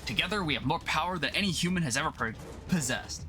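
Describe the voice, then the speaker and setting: A man's voice: on the high side, animated, fast talker, bright, forceful tone, soft background music. A man voicing an earnest, declamatory scientist and team leader in a dramatic comic-book reading. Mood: resolute. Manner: declamatory, urgent. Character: commanding, earnest male scientist hero, melodramatic team leader.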